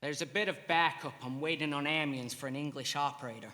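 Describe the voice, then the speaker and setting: A man's voice: a little high, steady tone, fast talker, sharp tone, long reverb tail. An actor playing a dry, by-the-book male army switchboard soldier with clipped, procedural delivery.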